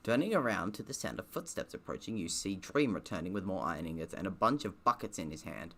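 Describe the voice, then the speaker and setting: This is a man with a very low, gravelly voice playing a visual novel for viewers. He reads the text aloud with lively swings in pitch, then breaks off into sarcastic, grumbling commentary on it. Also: fast. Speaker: streamer playing and reading a game aloud.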